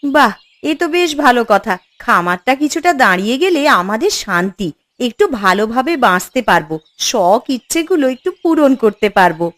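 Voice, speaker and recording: Woman playing a high-voiced, concerned village wife. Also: sing-song, moderate pace, fairly bright tone, no room sound. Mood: caring. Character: caring, anxious village wife. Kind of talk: cartoon fairy-tale dialogue.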